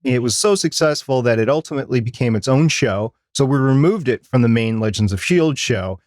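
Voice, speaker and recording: A man's voice: mid-range voice, expressive, brisk, darkish tone, dry recording, clean studio sound. A man co-hosting a podcast, explaining things in an informal, conversational way. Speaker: podcast co-host.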